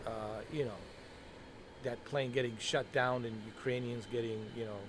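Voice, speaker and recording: A mid-pitched man with a faint Bosnian accent rambles casually over a flight game, calling his viewers guys and bro. His talk is loose and opinionated. Heavy background noise sits under the whole recording. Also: steady tone, moderate pace. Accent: slight Bosnian. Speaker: gaming video creator. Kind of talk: casual gameplay commentary.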